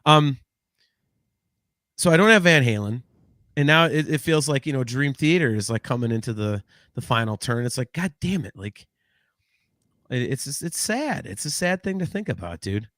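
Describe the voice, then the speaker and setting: A man in his late forties co-hosts a relaxed podcast, talking in a loose, rambling way with frequent restarts and casual swearing. His voice sits at an ordinary middle pitch. The sound is close and dry, with no room around it. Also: normal speed, long pauses, dry recording. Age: 40s. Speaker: podcast co-host.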